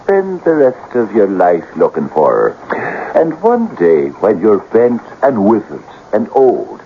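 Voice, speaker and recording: Theatrical, excitable coaxing schemer, lively pitch. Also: male voice, slow pace, exaggerated voice. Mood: persuasive. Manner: theatrical, cajoling, dramatic. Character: excitable, coaxing, fate-believing male sidekick, a wily talker. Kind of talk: 1970s radio drama acting. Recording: dull, noisy old radio broadcast recording.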